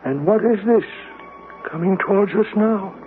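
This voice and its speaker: An actor playing a frail, dying old uncle haunted by lost love, speaking haltingly and repeating himself in a radio drama. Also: male voice, high voice, soft background music, close to an everyday voice. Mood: wistful. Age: 70s or older. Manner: halting, repeats words in agitation. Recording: muffled, noisy old radio broadcast.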